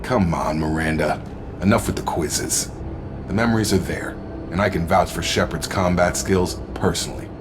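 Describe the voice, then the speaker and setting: A man's voice: low-pitched, normal speed, noisy background, lightly acted. A man playing a loyal, easygoing soldier in a sci-fi video game, straightforward and supportive. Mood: mildly exasperated. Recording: loud background music. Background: game music.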